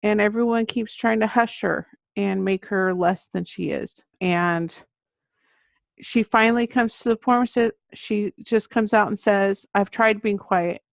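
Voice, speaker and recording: A woman's voice: mid-range voice, measured pace, creaky, no room sound. A middle-aged woman giving conversational answers as an author guest in an interview. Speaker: guest, children's book author. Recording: dull lo-fi audio.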